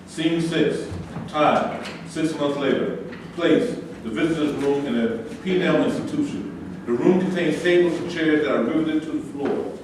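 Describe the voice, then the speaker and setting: A man's voice: on the high side, moderate pace. A man reading stage directions as scripted narration at a staged play reading. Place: echoey room at a staged reading, far microphone. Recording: very echoey room, distant mic, faint noise.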